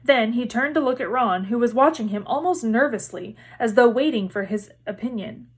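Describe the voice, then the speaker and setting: A woman's voice: a little high, normal speed, husky. A woman reading a storybook aloud as narrator. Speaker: read-aloud reader.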